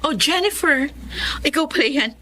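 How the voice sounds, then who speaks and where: A woman's voice: sits high, expressive, normal speed, pushed and bright, a little hiss or hum. An actress playing a flustered, hesitant young woman in a radio drama. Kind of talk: Filipino radio drama acting.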